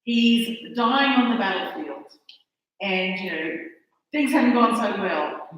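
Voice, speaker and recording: A woman's voice: medium pitch, animated, a bit bright. Australian woman echoing in a big hall. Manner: chatty, self-deprecating, halting with restarts. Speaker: conference speaker. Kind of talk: conference talk. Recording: echoey hall, mic far off.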